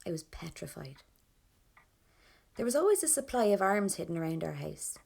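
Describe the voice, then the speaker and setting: A woman's voice: on the low side, big pitch swings, moderate pace, darkish tone, exaggerated voice. An actress playing a nervy, plain-spoken young Irish rebel woman, telling her story in an intimate first person with an Irish accent. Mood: wry, tense. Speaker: narrator in an audio drama. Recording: clear background ambience noise.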